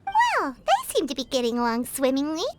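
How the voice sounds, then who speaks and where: A woman's voice: sits high, wildly swooping pitch, normal speed, very noisy. A woman voicing a small, cheerful young girl in a fantasy video game, bubbly and childlike, full of exclamations. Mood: chatty, excitable. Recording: game audio under loud background music.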